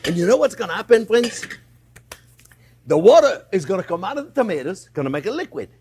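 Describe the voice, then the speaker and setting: A French-accented man hosts a cooking lesson in his kitchen, talking chattily and warmly to his viewers as friends. His voice sits high for a man and swings widely up and down. It has a husky edge. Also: big pitch swings, brisk. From France. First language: Italian. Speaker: chef host. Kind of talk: cooking demonstration. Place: home kitchen.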